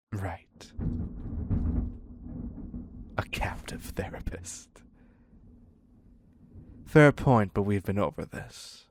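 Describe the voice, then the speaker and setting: A man's voice: on the low side, gravelly, breathy edge, dry recording, studio quality, exaggerated voice. A man playing a theatrical, clingy serial killer in an audio roleplay, wry and mock-courteous as he dotes on his captive. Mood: clingy, insistent. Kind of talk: scripted dark romance audio roleplay.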